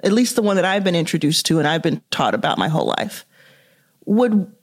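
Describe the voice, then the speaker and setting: A woman's voice: on the low side, big pitch swings, fairly quick. Musing woman, thinking aloud. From Dallas, Texas, US. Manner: thinking aloud, frequent "like" and "you know" fillers. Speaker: podcast host. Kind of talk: casual conversational interview.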